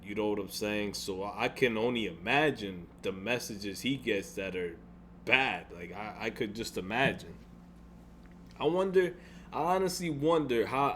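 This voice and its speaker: Deep-voiced young man venting, rambling. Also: a little hiss or hum. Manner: frustrated, rambling with frequent restarts and swearing. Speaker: gaming YouTuber. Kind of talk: casual venting vlog.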